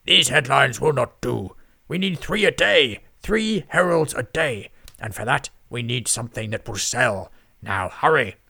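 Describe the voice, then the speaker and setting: A man voicing an enormous, greedy lord who runs a newspaper, booming and barking orders at his staff in a storybook reading. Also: expressive, measured pace, vocal fry, pushed and bright, breathy edge, no room sound, clean studio sound, exaggerated voice.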